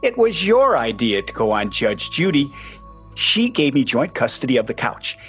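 An actor playing an aggrieved, sentimental ex-husband and sports fan in a radio play, speaking plaintively. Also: male voice, medium pitch, sing-song, moderate pace, a bit bright, faint music behind. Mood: indignant.

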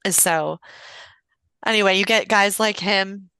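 Gravelly-edged woman telling personal stories. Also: mid-range voice, steady tone, unhurried, sharp tone, dry recording. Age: 40s. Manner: candid, rambling, conversational. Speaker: podcast guest. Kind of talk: casual personal storytelling.

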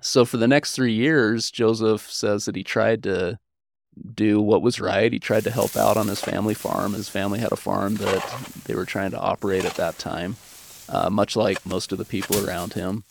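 Gravelly man explaining history. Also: lowish voice, fairly even intonation, moderate pace, a little hiss or hum. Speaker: historian guest. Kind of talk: conversational explanatory interview.

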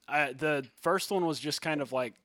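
A soft-spoken man in his thirties chats on a podcast in a fairly high, gentle voice. He rambles, restarts his sentences and leans on fillers. The sound is close and dry, with no room to it. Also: expressive, slow pace, vocal fry, no room sound. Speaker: musician and podcast co-host. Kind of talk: casual podcast chat.

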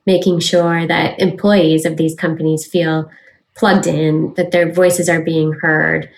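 Woman advisor with a slightly low voice. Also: flat delivery, slow, rough recording. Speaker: lawyer advising companies, panelist. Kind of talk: professional panel discussion.

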